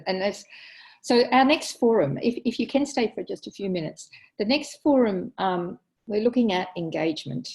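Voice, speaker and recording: Gravelly woman chairing an online meeting. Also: medium pitch, lively intonation, darkish tone, low-quality recording. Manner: halting, full of ums and restarts. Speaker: meeting coordinator. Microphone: video call.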